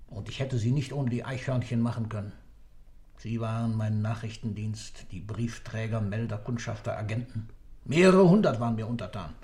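A man plays an elderly accused man in a German radio drama, a self-styled god. His medium-pitched voice is measured and ironic. He speaks with proud, cryptic superiority. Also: darkish tone, lightly acted. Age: older. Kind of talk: German radio play acting.

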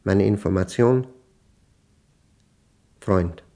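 A man acting a brooding mathematician in a German radio play, reading his diary in terse, deliberate, measured fragments. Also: low-pitched, gravelly, mellow, exaggerated voice. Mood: obsessive, controlled.

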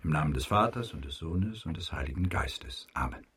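Deep-voiced solemn priest. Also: male voice, lively intonation, brisk, a bit bright, breathy edge, exaggerated voice. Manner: formal, liturgical, commanding. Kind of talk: radio drama acting. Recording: music behind the voice.

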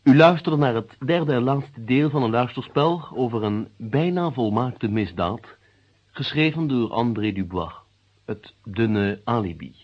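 A man formally and deliberately reading the closing credits of a radio play as its announcer. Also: mid-range voice, expressive, breathy edge. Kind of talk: formal scripted broadcast announcement. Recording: dull, muffled old radio recording.

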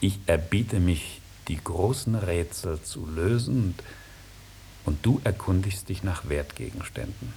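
An actor playing a sly, mocking, melancholy old dragon who knows everything, declaiming theatrically in a 1950s German radio play. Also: male voice, low-pitched, animated, unhurried, gravelly, full character voice. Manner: theatrical, declaiming, swooping from hushed to loud. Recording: hissy 1950s radio recording.

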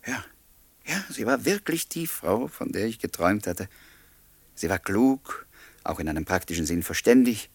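Low, husky lovelorn bachelor in a radio play. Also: male voice, no room sound, exaggerated voice. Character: lovelorn bachelor, wistful and discreetly smitten. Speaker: actor in a radio drama. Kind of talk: German radio play dialogue and narration.

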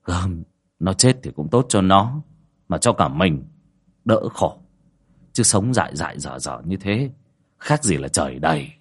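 A man voicing a grown son, gruff and blunt, who stammers pleas in terror. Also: sits low, animated, unhurried, pauses often, voice pushed down, full character voice. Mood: sullen. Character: grown son, sullen then terrified and sobbing.

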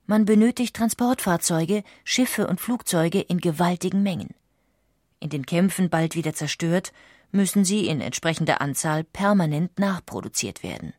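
A woman reading scripted documentary narration with measured, precise enunciation. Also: lowish voice, expressive, darkish tone, no room sound, clean studio sound.

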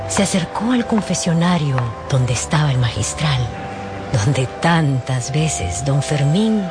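A man narrating a scripted radio drama as a storyteller. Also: fairly high pitch.

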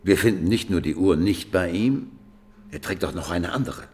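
A man's voice: low-pitched, moderate pace, a little mellow, slightly rough. An actor playing a pensive, doubting police detective who reasons skeptically with his partner in a crime radio play. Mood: skeptical reasoning. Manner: ruminative, skeptical.

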